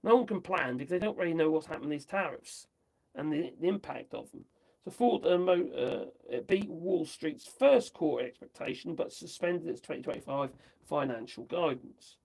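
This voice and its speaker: A man from the UK, chatting his way through the news on a livestream, rambling and tossing in tag questions, his voice going hoarse. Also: on the high side, expressive, moderate pace, a little mellow, rough recording. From United Kingdom. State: losing his voice. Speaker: livestream host. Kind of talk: casual commentary on news.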